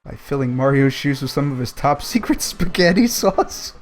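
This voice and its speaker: A man with a mid-pitched voice reads a story aloud to friends in a casual way. He breaks off for joking, halting asides, with faint music playing behind him. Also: expressive. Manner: jokey, halting asides between read passages. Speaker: host reading a book aloud on a livestream. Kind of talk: casual group read-aloud with banter.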